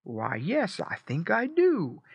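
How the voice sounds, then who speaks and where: Woman voicing a placid, measured father pig. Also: lowish voice, huge pitch swings, vocal fry, slightly rough, no echo, muffled recording, pitched above the performer's own voice, full character voice. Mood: thoughtful. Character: slow, steady fat father pig, placid and reminiscing.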